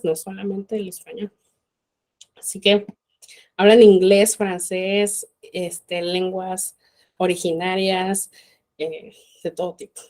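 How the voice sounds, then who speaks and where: A woman's voice: mid-range voice, a little mellow. A woman giving an informal university law talk, conversational and full of fillers. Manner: conversational, many fillers like "este". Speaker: law lecturer.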